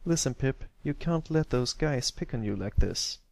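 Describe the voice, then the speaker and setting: A man's voice: mid-range voice, expressive, moderate pace, creaky, a little mellow, no room sound, pitched above the performer's own voice. A man voicing a protective older brother stallion, a royal guard who is firm and caring, in a storybook reading. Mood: gentle, encouraging.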